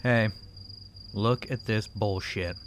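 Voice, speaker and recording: A man's voice: fairly low pitch, steady tone, takes it slowly, noisy background. An actor playing an irritable, sardonic man in an audio drama, with a grumbling delivery. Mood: irritated. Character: irritable, sardonic partner obsessed with online views.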